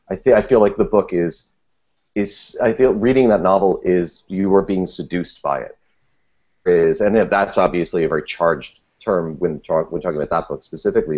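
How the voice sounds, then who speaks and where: A man with a husky voice discussing literature as a podcast panelist, earnest and halting, with eloquent word choices. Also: low voice, a little mellow, muffled recording.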